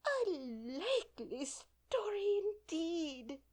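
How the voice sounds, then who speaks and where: A woman's voice: sits high, animated, measured pace, darkish tone, pitched above the performer's own voice. A woman voicing a distraught female pigeon who shrieks, sobs and scolds. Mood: scornful. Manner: shrieking, sobbing and scornful. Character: shrill, distraught female pigeon guarding her eggs.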